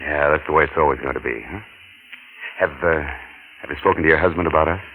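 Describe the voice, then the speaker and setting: An actor playing an ardent secret lover, earnest, impatient and insistent, in a pleading 1940s radio drama style. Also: male voice, rumbling low, fast, narrow, tinny audio. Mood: tender. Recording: noisy old radio recording.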